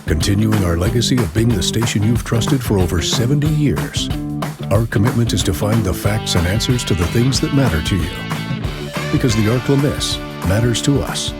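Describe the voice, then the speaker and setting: Male station promo announcer, fairly low voice, scripted voice-over, loud guitar music underneath. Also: lively intonation, moderate pace. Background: loud plucked guitar music.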